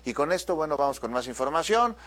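A man's voice: on the high side, big pitch swings, quick pace, fairly bright tone. A man anchoring the news, pressing a guest with critical questions and commentary.

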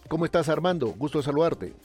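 Radio host, male, conversational and halting, faint music bed. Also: fairly high pitch, animated, a little mellow. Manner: hesitant, many fillers and restarts.

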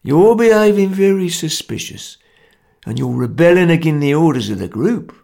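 A man voicing a grim, domineering Cockney ringleader, stern, laconic and gravelly, in a story reading. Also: a little high, animated, normal speed, darkish tone, pitched above the performer's own voice. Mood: cold menace. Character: grim, domineering male ringleader of bungling conspirators.

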